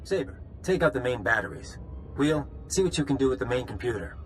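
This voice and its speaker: An actor playing a methodical squad leader in a video game, briefing operatives with measured authority. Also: male voice, mid-range voice, big pitch swings, faint music behind, close to an everyday voice. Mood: commanding.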